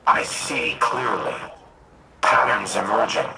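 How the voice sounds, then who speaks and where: An actor voicing a grave, solemn artificial intelligence in a game cutscene, making deliberate, clipped pronouncements. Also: male voice, on the low side, fairly even intonation, vocal fry, very noisy. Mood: grave warning. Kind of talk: dramatic game cutscene acting.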